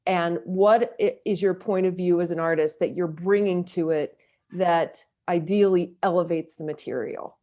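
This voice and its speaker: A woman's voice: lowish voice, normal speed, mellow. A woman talking conversationally in an interview as a director and actor, thoughtful and emphatic in how she makes her points. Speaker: audio drama director and actor being interviewed.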